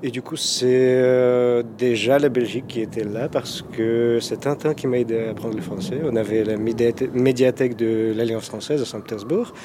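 A man's voice: medium pitch, steady tone, normal speed, hardly any pauses. A man from Russia speaking casually and a little hesitantly outdoors amid urban noise. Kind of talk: casual recollection. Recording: noisy outdoor urban, vehicle sounds.

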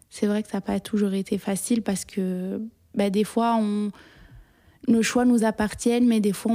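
Shy, hesitant woman, flat delivery. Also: medium pitch. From Belgium. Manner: shy, hesitant, many fillers and restarts. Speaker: interviewee. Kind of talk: hesitant personal interview answers.

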